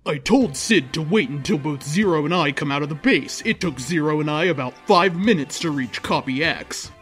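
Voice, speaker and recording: A man's voice: fairly high pitch, animated, normal speed, fairly bright tone. A man reading a story aloud in a theatrical, mock-serious way, like a host performing fan fiction. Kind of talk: dramatic comedic story reading. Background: music.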